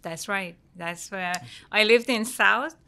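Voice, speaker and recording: A middle-aged woman from Iran, a guest interior designer, chatting in a measured way with soft pauses. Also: mid-range voice, vocal fry. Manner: measured, pausing with soft fillers. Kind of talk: casual interview chat.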